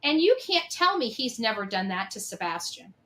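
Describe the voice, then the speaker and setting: A woman with a high, forceful, bright voice speaks off the cuff as an opinionated commentator. Her delivery is emphatic and accusatory, and she repeats phrases to drive her points home. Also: big pitch swings, normal speed. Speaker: online commentator on a case. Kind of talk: opinionated unscripted monologue.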